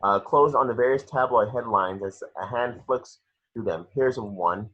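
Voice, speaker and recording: A man's voice: mid-range voice, moderate pace, a bit bright, breathy edge. A man reading scripted action descriptions as the narrator of an amateur table read. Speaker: stage direction narrator. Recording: dull lo-fi home recording.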